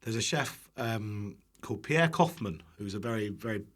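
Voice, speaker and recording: A man's voice: fairly low pitch, expressive, moderate pace, fairly bright tone. A British man telling casual anecdotes as a guest, in a self-deprecating way with some casual swearing. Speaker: guest, internet cooking creator.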